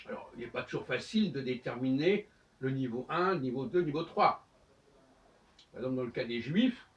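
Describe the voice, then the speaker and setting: Halting French-speaking man lecturing from afar. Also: fairly high pitch. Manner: halting, many restarts and pauses. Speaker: host. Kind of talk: lecturing monologue. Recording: dull sound, from far off the mic.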